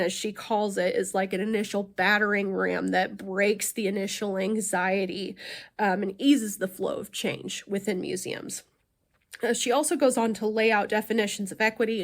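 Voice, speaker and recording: A young woman with a cold, sounding nasal, reading a class presentation with frequent "um" fillers. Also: medium pitch. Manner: frequent "um" fillers, measured delivery. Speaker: student presenter.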